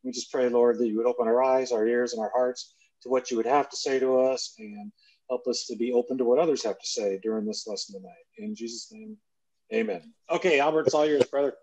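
A man's voice: medium pitch, a little fast, a little mellow, poor audio. A man who is the pastor, introducing the teacher and leading an opening prayer on a video call.

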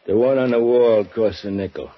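A man's voice: fairly low pitch, moderate pace, natural voice. A man playing a sly, sardonic hotel clerk. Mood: mocking. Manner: sardonic, needling. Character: sly sarcastic male desk clerk of a cheap hotel. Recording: dull old radio broadcast recording.

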